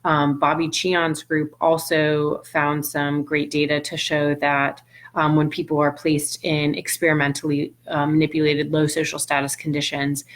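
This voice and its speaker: A woman's voice: sits low, monotone, moderate pace. A middle-aged woman from Puerto Rico, a nutrition scientist answering audience questions on a livestream, thoughtful, with many ums and restarts. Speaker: nutrition scientist hosting a live Q&A.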